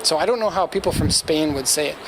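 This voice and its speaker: A man's voice: on the high side, fast talker, over music, poor audio. A man giving casual, off-the-cuff vlog commentary as a host, rambling along in an informative, opinionated way. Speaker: host of car auction walkaround video. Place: outdoors at a car auction lot. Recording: loud outdoor noise with vehicle sounds.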